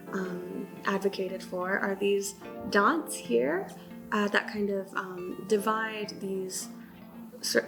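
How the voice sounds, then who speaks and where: Woman, enthusiastic casual chat, lots of ums, music behind. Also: mid-range voice. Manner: enthusiastic, chatty, hesitant fillers. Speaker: stationery shop co-host. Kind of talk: casual product unboxing chat.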